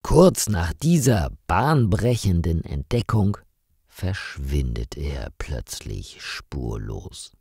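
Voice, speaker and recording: Slow, lively male story narrator. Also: low-pitched, gravelly, slightly rough, no echo, studio quality. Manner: slow, expressive storytelling with dramatic rises. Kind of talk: scripted story narration for German learners.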